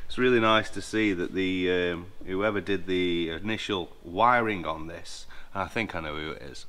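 Man, low voice, explaining repairs. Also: normal speed, fairly bright tone. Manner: chatty, explaining as he works, with frequent restarts. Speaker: aircraft maintenance engineer. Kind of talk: casual hands-on workshop walkthrough. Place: large indoor aircraft hangar.

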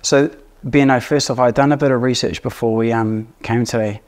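A man with a New Zealand accent, a mortgage broker guest on a podcast, joking and self-deprecating with a smooth, warm voice. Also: medium pitch, fairly even intonation, brisk. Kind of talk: casual interview chat.

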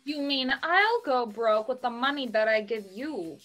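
A woman's voice: a little high, lively intonation, measured pace, a bit bright, faint music behind. A young woman playing a doting, blunt, sassy fifty-year-old mother in a play reading over a video call. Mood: mock stern. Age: young adult. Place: home bedroom, indoors. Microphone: video call on computer.

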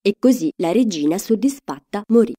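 A woman's voice: mid-range voice, lively intonation, fairly quick, warm tone. A woman storyteller narrating a children's fairy tale expressively.

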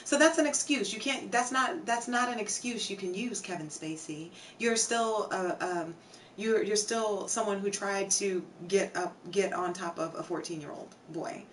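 A young woman with a hoarse, tired voice, chatting casually with her viewers on a home live stream and filling her talk with "like" and "um". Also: medium pitch, normal speed, recorded from a distance, a little hiss or hum. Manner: chatty, reads out chat names, many fillers. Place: home streaming room with shelves.

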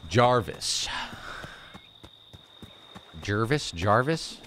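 A man voicing a cocky, gruff male hero in a game, talking in a slow mock-tough drawl. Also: on the low side, expressive, fairly bright tone, a little hiss or hum, full character voice.

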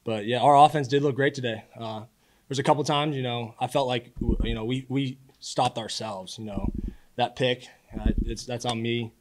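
A man in his early twenties, a college quarterback, answering press questions in a casual, earnest way with halting repeated words. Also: medium pitch, fairly even intonation. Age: early 20s. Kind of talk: postgame press conference answers. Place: indoor press room at a podium. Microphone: podium microphone.

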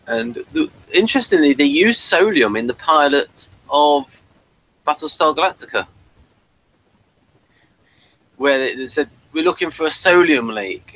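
A middle-aged man hosting a casual review chat over a video call, halting and repeating words as he gathers his thoughts. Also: a little high, bright, forceful tone, poor audio. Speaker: host of a review show. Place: small cluttered room at home. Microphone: headset on a video call.

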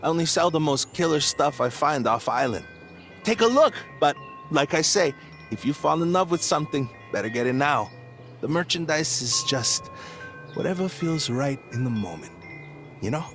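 An actor playing an easygoing, slangy surfer dude who sells odd wares in a video game. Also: male voice, fairly high pitch, lively intonation, normal speed, fairly bright tone, faint music behind. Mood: laid-back. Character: laid-back surfer dude running a pop-up kiosk.

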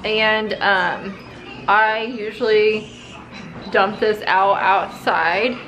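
A woman in her thirties with a creaky voice chats casually as a home cleaning vlogger. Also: mid-range voice, steady tone, extremely slow, a bit bright, slight background noise, rough recording. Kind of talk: casual vlog chat. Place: indoors at home.

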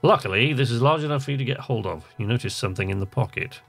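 A man's voice: medium pitch, animated, fast, gravelly, over music. A man giving casual let's-play commentary, chatty and hesitant as he thinks aloud and reads game text. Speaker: host of a video game channel.

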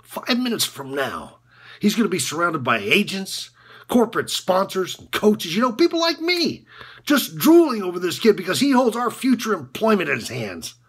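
Man playing a disillusioned college basketball coach, rough husky voice, wildly swinging pitch, sardonic and halting, dramatic film monologue. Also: very animated, a bit bright, heavily acted. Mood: bitter regret. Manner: halting, repetitive, sardonic, swinging from muttering to outbursts. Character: disillusioned, bitter male college basketball coach confessing corruption. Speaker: actor performing a film monologue.

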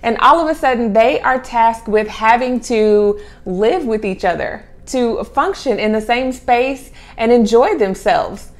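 A middle-aged woman with a fairly high voice talks casually to camera as a vlog host. She presents like a mother sharing children's books, leaning on key words and repeating some for emphasis. She is recorded on a clip-on mic in a small room at home. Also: a little slow, hardly any pauses. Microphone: clip-on lapel mic.

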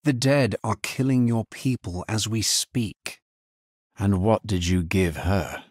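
Fantasy game necromancer hero: grave and understated, husky tone, very dry close sound. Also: male voice, lowish voice, slow pace, a little mellow, no echo. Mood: stern, urgent. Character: grave, world-weary male necromancer hero. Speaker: video game voice actor. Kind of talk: dramatic fantasy game dialogue lines.

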